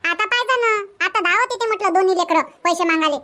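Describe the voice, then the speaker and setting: Casting: exasperated cartoon village mother, very high and bright, Varhadi Marathi accent, rapid complaining, pitched-up sound. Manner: scolding, complaining, rhetorical questions. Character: exasperated village mother fed up with children's demands. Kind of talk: cartoon comedy dubbing.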